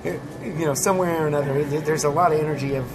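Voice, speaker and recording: A man's voice: on the high side, steady tone, fast talker, crowd noise, low-quality recording. A man with an American accent, a touring musician chatting candidly in an interview and laughing often. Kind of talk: casual interview chat.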